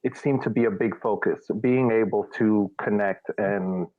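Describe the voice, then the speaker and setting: A man giving casual sports analysis as a podcast panelist, halting and reflective. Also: lowish voice, no echo. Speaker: podcast panelist, former coach. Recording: thin phone-like audio, dry.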